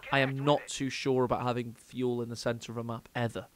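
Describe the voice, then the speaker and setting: A man commentating a strategy game match with a strained voice, speaking quietly and close to the mic. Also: medium pitch, a little hiss or hum. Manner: excitable, hyped, quick asides. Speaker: game caster. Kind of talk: esports commentary. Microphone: close desk mic.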